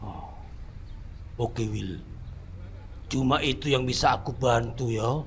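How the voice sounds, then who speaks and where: A man's voice: medium pitch, takes it slowly, a little hiss or hum. A man doing a reluctant rich businessman. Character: wealthy businessman friend, middle-aged man.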